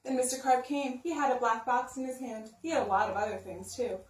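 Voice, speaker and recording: High-voiced woman reading children's stories. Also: steady tone, a little fast. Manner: simple, emphatic phrasing for young listeners. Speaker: story-time reader. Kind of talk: expressive children's storybook narration. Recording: roomy sound, distant microphone.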